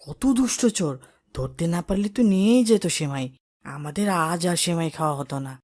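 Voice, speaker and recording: A woman voicing an excited, indignant boy in a cartoon, talking to his friends. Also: deep voice, fairly quick. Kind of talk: cartoon drama voice acting.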